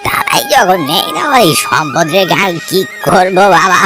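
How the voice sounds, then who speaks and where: Elderly bereaved grandfather for a cartoon, played by a voice performer: solemn, generous, very swooping pitch, music underneath. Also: wildly swooping pitch, moderate pace. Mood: grieving. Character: elderly bereaved man, gentle, generous, fatherly. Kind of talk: cartoon story acting.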